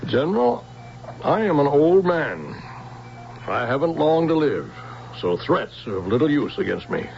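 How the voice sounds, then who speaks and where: An actor playing a wary, courteous old scientist in a radio drama, hesitant and evasive in manner. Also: male voice, a little high, big pitch swings, a little slow, gravelly, heavily acted. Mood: wary, resisting. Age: older. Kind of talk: American old-time radio drama acting. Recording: muffled vintage radio broadcast, faint hiss.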